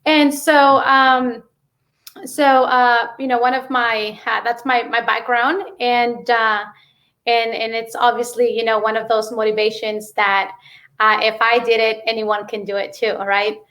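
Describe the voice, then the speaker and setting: A woman with a Mexican accent and Spanish as her first language tells her story casually and haltingly, often saying "you know.". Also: a little high, flat delivery, a bit bright. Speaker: interview guest, businesswoman.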